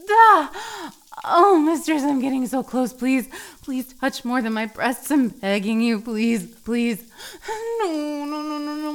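A woman playing a submissive, needy cat girl in an intimate adult audio roleplay, halting and breathy as she pleads. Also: high-pitched.

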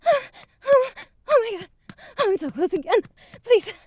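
A woman playing an eager adult university student in an intimate audio roleplay, breathy and pleading. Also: extremely high pitch, expressive, slow, darkish tone, full character voice. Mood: breathless pleading.

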